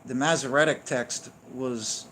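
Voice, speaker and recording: Man, religious teacher, insistent, explanatory, mid-pitched voice, noisy background. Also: steady tone, unhurried, sharp tone. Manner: insistent, explanatory, with frequent "right?" and "okay?". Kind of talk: unscripted teaching monologue. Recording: noticeable background noise.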